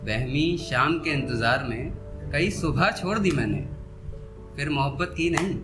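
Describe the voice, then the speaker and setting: A man performing a live poetry recital, repeating couplets with deliberate pauses and speaking to listeners between verses. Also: mid-range voice, animated. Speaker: poet performing on stage. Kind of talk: live Hindi-Urdu poetry recital with spoken asides. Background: soft instrumental background music.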